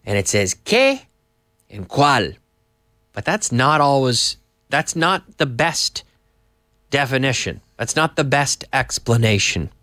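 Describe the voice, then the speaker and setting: Hoarse man teaching English, lively pitch. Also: no room sound, clean studio sound. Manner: chatty, reads viewer messages aloud and explains slowly. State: hoarse, coming down with a cold. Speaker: English teacher and live-stream host. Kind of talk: live online English lesson.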